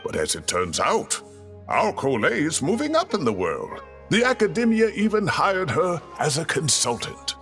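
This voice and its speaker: A man's voice: a little high, huge pitch swings, moderate pace, fairly bright tone, exaggerated voice. A man playing a genial, proud older scholar mentor with a theatrical, avuncular, self-satisfied delivery in an anime game dub. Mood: explaining, proud. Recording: faint music behind the voice.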